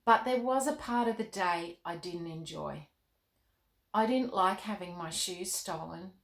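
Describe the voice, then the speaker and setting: A woman reading the part of an earnest, questioning nine-year-old girl in a children's storybook, with an expressive manner. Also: medium pitch, close to an everyday voice. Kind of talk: storybook read-aloud. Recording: roomy, echoing indoor sound.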